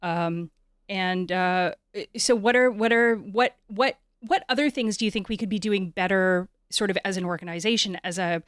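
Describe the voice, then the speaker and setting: A woman hosting a conversational interview, feeling her way through sentences in a halting way and repeating words as she goes. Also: fairly low pitch, no echo, clean studio sound. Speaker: podcast host.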